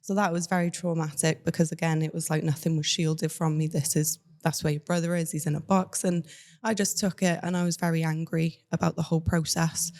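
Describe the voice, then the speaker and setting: A young woman with a Cardiff accent speaks in a fairly low voice. She tells her own story candidly and matter-of-factly, as an interview guest. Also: flat delivery, brisk, a little mellow. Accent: Welsh, Cardiff. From Cardiff, Wales. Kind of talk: personal life story in an interview.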